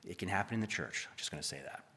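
A hoarse man preaches to his congregation, reading scripture aloud and breaking off for casual, joking asides. His voice is bright and forceful despite the strain. The sound carries a long reverb from a large hall. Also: low voice, expressive, racing. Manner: conversational, with jokey asides and frequent "okay?" and "right?". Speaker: pastor. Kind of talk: preaching a sermon with Bible readings.

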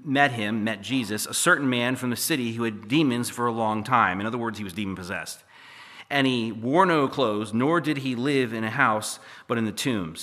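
Hoarse preacher in an echoey hall. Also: male voice, mid-range voice, sharp tone. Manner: conversational, with jokey asides and frequent "okay?" and "right?". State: hoarse from losing his voice. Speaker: pastor. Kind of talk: preaching a sermon with Bible readings.

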